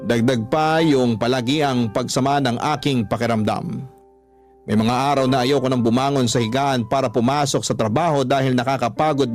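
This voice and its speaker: A man's voice: mid-range voice, darkish tone. A man narrating a personal story in the first person, in a scripted radio storytelling style. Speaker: storytelling host reading a listener's letter.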